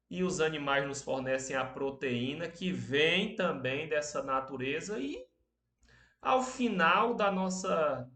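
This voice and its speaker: A Brazilian man teaching an online class, speaking softly because he has a cold and a sore throat. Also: a little high, roomy sound. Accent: Brazilian Portuguese. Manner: speaks softly, slow and unhurried, many tag questions.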